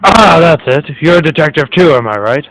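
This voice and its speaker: A man's voice: on the high side, lively intonation, normal speed, noisy background. A man playing a rich host's superior, hot-tempered brother in a radio mystery. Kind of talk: radio mystery play acting.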